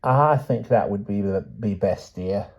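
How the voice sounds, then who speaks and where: A man's voice: lowish voice, unhurried, slightly rough, pitched above the performer's own voice. Man voicing a quavering, timid old aunt. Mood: mild, firm. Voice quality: quavering, hushed. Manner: mild, simple, endearing old-fashioned address. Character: timid, frail, frightened old aunt, gently stubborn. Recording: dull, muffled audio.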